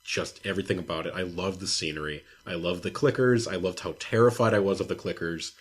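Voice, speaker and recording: A young man with a low, husky voice co-hosts a gaming podcast. His delivery is casual and conversational, like easy talk between friends. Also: fast. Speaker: podcast co-host.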